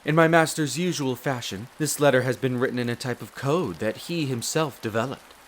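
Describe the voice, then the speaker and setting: A man's voice: mid-range voice, slight background noise, close to an everyday voice. Man playing a formal, deferential butler, rain behind. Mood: composed, explanatory. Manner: formal, polite, measured, deferential. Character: courteous, devoted demi-human butler in a vampire's castle. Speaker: voice actor in an audio roleplay. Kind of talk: scripted roleplay addressed to the listener. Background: steady rain of a storm.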